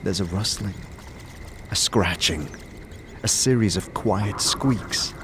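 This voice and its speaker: A man narrating a scripted second-person adventure story in a measured, dramatic manner. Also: low voice, background noise. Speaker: narrator, game master. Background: music.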